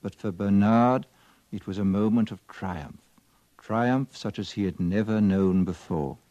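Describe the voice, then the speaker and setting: Measured, solemn 1950s drama narrator, male actor, dark mellow tone, dull vintage radio sound. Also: lowish voice, lightly acted.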